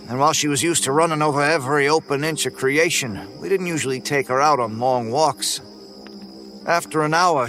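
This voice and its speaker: A man narrating a first-person horror story in a relaxed, folksy way, over faint music. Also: mid-range voice.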